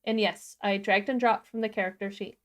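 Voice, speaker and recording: A woman's voice: medium pitch, steady tone, a little fast. A woman co-hosting a livestreamed tutorial, informally instructing and talking through each step as she does it. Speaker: livestream co-host and software instructor. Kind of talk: casual step-by-step live tutorial.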